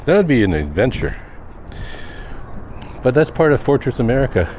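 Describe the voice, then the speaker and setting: A man talking off the cuff in a personal vlog, reflective and rambling with thoughtful pauses. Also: mid-range voice, sing-song, darkish tone. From Canada. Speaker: daily vlogger. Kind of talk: unscripted personal vlog monologue. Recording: noisy outdoor recording with vehicle sounds.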